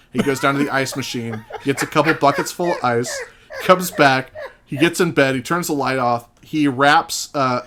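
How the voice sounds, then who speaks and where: A man guesting on a podcast, casually spinning out detailed comic scenarios in a deadpan way. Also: mid-range voice. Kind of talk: casual chat, comedic storytelling.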